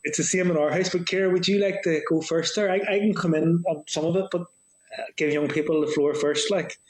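A man chairing a committee, friendly and brisk as he hands over the floor. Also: a little high, steady tone, echoey room.

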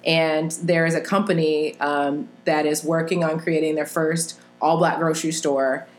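A woman's voice: low-pitched, little pitch movement, unhurried. A woman talking earnestly and thoughtfully as a guest in a casual conversation, laughing easily. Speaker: podcast guest. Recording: roomy echo.

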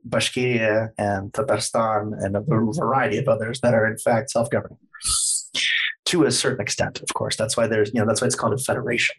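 A man's voice: fairly low pitch, little pitch movement, a little fast, slightly rough, rough recording. A man talking as a podcast guest, explaining history at length in a lecturing way, hedging often with plenty of fillers.

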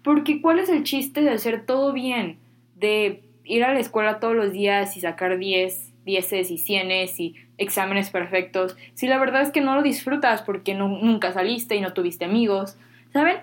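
A woman's voice: medium pitch, moderate pace. A teenage girl from Mexico with a Mexican accent, talking casually and candidly to her viewers, dropping slang and poking fun at herself. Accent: Mexican Spanish. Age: teens. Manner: chatty, candid, self-deprecating, uses Mexican slang. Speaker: vlogger. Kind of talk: casual talk to camera.

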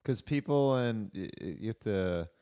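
A man's voice: fairly low pitch, lively intonation, normal speed, dark tone. A man hosting a casual chat, jokey and playful, laughing between remarks.

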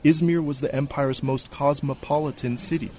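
A man's voice: fairly high pitch, steady tone, normal speed, warm tone. A man reading a scripted radio news report as a correspondent.

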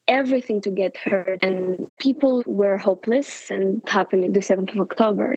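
A woman's voice: mid-range voice, a little mellow, dry recording. Young woman, muffled recording. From France. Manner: earnest, many "like" fillers. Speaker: graduate student and activist. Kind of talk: earnest interview account.

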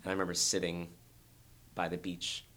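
A young man, a dancer, talking earnestly and thoughtfully in an interview, with a very low voice and frequent restarts. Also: completely flat delivery, fairly quick, sharp tone. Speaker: dancer guest. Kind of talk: reflective interview talk.

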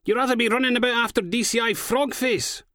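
A man's voice: very high-pitched, brisk, bright, forceful tone, no room sound, studio quality, voice pushed up. A man voicing a foul-mouthed, cynical female police inspector with a Scottish accent, scornful and impatient, in an audiobook. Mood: sarcastic. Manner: sweary, sardonic, uses Scottish slang.

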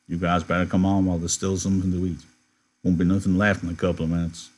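A man voicing a calm, dignified ranch team leader, quiet and matter-of-fact, in a novel read aloud. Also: sits low, quick pace.

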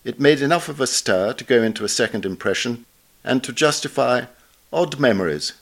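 A man reads a scripted literary article aloud in a measured, formal manner. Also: mid-range voice, lively intonation. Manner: measured, formal, reading punctuation and dates aloud. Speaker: reader of a recorded article.

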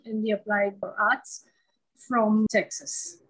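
A woman's voice: medium pitch, flat delivery, slow, husky, poor audio. A middle-aged woman, from Sri Lanka and India, answering questions conversationally as an interview guest.

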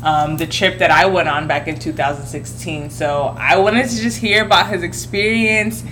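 A woman hosting a podcast, interviewing in a lively, chatty way with quick interjections. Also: on the low side, slight background noise, low-quality recording. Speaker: podcast host.

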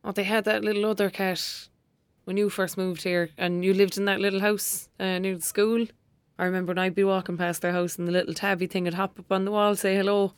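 A woman's voice: medium pitch, flat delivery, brisk, clean tone, dry recording, lightly acted. A woman playing a blunt, sceptical young girl with an Irish accent in an audio drama, speaking in a casual, colloquial way. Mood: wary. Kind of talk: naturalistic audio drama acting.

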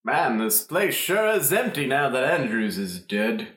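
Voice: mid-range voice, sing-song, unhurried, fairly bright tone. An improv performer playing a pompous, self-important police detective in a murder mystery radio play. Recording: echoey room.

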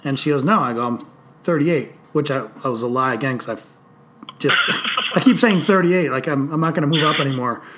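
A man in his late thirties talking casually on a podcast, animated and swearing freely as he tells stories. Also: a little high, brisk, background noise. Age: 30s. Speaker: podcast host.

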